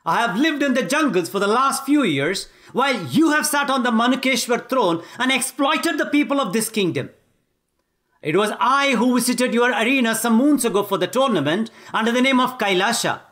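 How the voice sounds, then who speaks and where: A man's voice: very high voice, a bit bright, pitched above the performer's own voice. A man with Tamil as his first language voicing a righteous young warrior king who declaims formally and solemnly.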